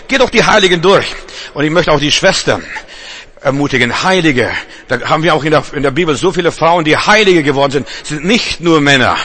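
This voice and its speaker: A man's voice: fairly high pitch, pushed and bright. A male preacher giving a sermon to a congregation, speaking emphatically with repetitions, direct address and frequent restarts. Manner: stumbles and restarts. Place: church congregation.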